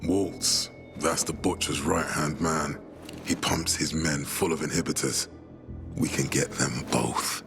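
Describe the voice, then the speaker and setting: An actor playing a commanding, determined peacekeeper leader in a video game, speaking in a firm, measured way. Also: male voice, a bass voice, steady tone, vocal fry, heavily acted. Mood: grim, firm. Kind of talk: video game dialogue acting. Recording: music behind the voice.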